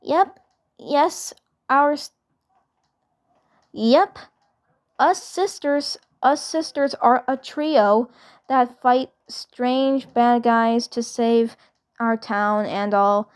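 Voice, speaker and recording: A woman's voice: a little high, very slow, long pauses, clean tone. A woman voicing an earnest, polite young cartoon superhero girl, the responsible leader, reassuring and repeating herself. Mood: proud, earnest.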